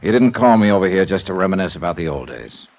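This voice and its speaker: An actor playing a wry, steady male insurance investigator in a 1950s radio drama, with a dry, wisecracking delivery. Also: sits low, quick pace, highs cut off. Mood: probing. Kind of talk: 1950s radio drama acting, first-person narration and dialogue. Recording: band-limited old radio recording.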